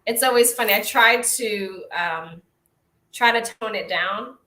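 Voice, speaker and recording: A woman's voice: medium pitch, measured pace, echoey room. A middle-aged woman with a Brooklyn New York accent, chatting casually while giving oracle card readings on a live stream. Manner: chatty, warm greetings to viewers, frequent hearty laughs.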